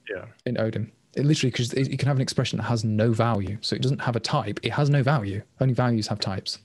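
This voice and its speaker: A man talks through questions on a video call, quick and rambling, often restarting his sentences. The sound is dry and close, with no room echo. His voice sits at an ordinary middle pitch. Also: husky. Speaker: language designer presenting at a meetup. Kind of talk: casual Q&A answers. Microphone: webcam on a video call.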